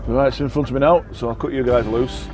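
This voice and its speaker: A man's voice: medium pitch, steady tone, fast talker, music in the background. A tired man with a Yorkshire accent, chatting casually and rambling with lots of ums as he drives his truck. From Yorkshire, England. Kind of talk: casual chat while driving. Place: truck cab on the road. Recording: engine and road noise in a truck cab.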